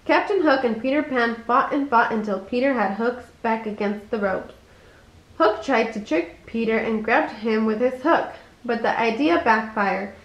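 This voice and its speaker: A woman with a fairly high voice reads a children's storybook aloud in an echoey room. Her delivery is expressive, suited to a bedtime story. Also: normal speed. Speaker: storybook reader.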